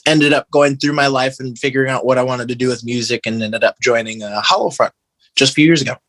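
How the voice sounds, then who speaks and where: A man's voice: medium pitch, quick pace, fairly bright tone, dry recording. American guy telling stories, dry close sound. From Michigan, United States. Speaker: podcast guest, metalcore guitarist. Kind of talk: casual conversational storytelling.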